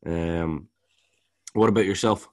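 A man with a baritone voice, talking casually as a podcast co-host and rambling, often repeating words while he searches for them. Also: slow pace, darkish tone. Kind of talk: casual music review chat.

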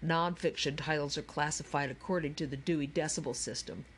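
A woman's voice: sits low, fairly even intonation, fairly quick, slight background noise, close to an everyday voice. A woman voicing a timid, bookish boy in a children's audiobook, mumbling and pedantic. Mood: meek, pedantic. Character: timid, bookish, pedantic boy who knows library rules.

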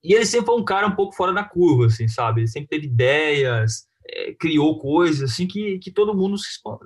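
A man with a Brazilian accent talking informally and haltingly in an interview about his own work, often adding little tag questions. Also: fairly high pitch, big pitch swings. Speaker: film director being interviewed.

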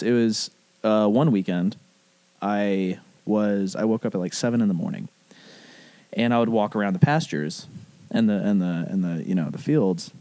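A man from the rural American South telling a personal story casually, in animated, rambling speech full of profane slang. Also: lowish voice, no echo. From southern United States, rural Alabama.

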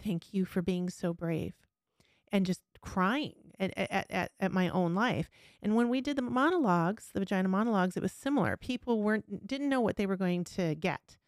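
A woman's voice: medium pitch, normal speed, no echo, studio quality. A woman speaking candidly and earnestly in a conversational podcast interview, with emphatic personal storytelling as host.

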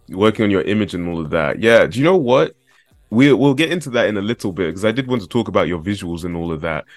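A man's voice: lowish voice, animated, quick pace, dry recording, studio quality. A man hosting a casual podcast interview, chatty and laid-back with plenty of slang. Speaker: podcast host.

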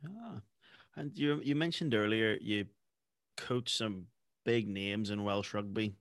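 Male podcast host, low voice, dry close sound, rambling questions. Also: takes it slowly, dry recording. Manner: soft back-channel murmurs, rambling questions. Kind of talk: podcast interview.

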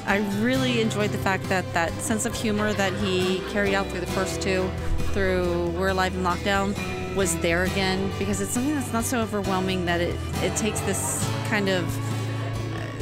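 Reflective woman speaking over loud music. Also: medium pitch. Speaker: fan of an audio drama. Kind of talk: interview.

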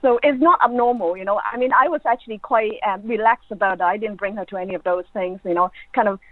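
A woman's voice: medium pitch, quick pace, dry recording. Chatty woman on a thin phone-like line. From born in Hong Kong, grew up in Ireland. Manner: informal, chatty, peppers talk with "you know". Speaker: podcast guest, academic. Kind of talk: conversational interview, personal anecdotes.

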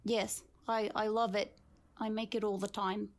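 A woman playing a deadpan female guest from Russia in an improvised comedy sketch, speaking with a put-on foreign accent. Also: medium pitch, a little slow, close to an everyday voice.

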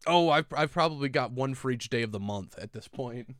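A man's voice: mid-range voice, expressive, fairly quick, a bit bright, smooth, no room sound. Easygoing young man, dry studio mic. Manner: easygoing, laughs a lot, filler-heavy. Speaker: podcast host and editor. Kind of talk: casual chat.

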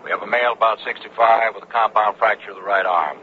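A man's voice: on the low side, fast, close to an everyday voice. A man acting in a radio drama as a formal emergency car operator using official dispatch phrasing. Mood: official, urgent. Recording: muffled two-way radio, faint noise.

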